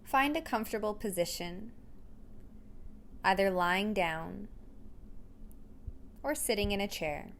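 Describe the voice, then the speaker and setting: Woman doing a guided meditation, real slow and gentle, normal pitch, leaves lots of space between lines. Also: a little hiss or hum. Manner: gentle, unhurried, with long pauses. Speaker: yoga and meditation instructor.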